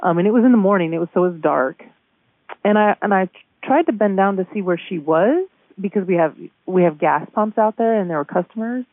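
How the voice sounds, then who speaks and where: A woman being interviewed over the phone, casually recounting events in a halting way with repeated words. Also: medium pitch, dry recording. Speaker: store manager being interviewed. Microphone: telephone call. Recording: muffled band-limited phone line.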